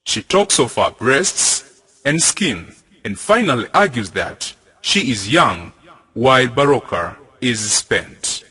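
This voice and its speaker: A man's voice: medium pitch, big pitch swings, slow pace, bright, forceful tone, husky. A young man reading scripted narration for a stage drama. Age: young adult. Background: music.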